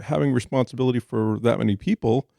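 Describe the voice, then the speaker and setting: A man's voice: mid-range voice, quick pace, vocal fry, dark tone, no room sound, clean studio sound. A man hosting a podcast interview in a guest's home, asking curious follow-up questions in a casual way. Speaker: podcast host.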